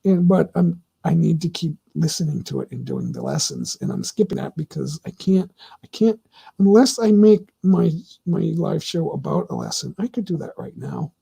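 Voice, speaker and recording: A middle-aged man hosting his own livestream, talking casually and answering chat in a rambling, opinionated way with many halting restarts. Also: fairly high pitch, wildly swooping pitch, gravelly, dark tone, breathy edge. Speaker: livestream host.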